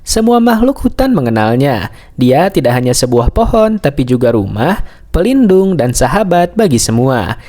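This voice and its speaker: A man's voice: mid-range voice, very animated, normal speed, warm tone. A man narrating a scripted bedtime story for children.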